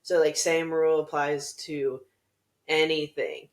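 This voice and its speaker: Calm man with a fairly high voice. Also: measured pace. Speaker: podcast co-host. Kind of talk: candid podcast discussion.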